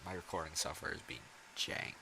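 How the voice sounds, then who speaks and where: A man's voice: rumbling low, brisk, vocal fry, noisy background. A man giving casual let's play commentary on a video game in an offhand, mumbling way. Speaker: gaming video creator. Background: game music.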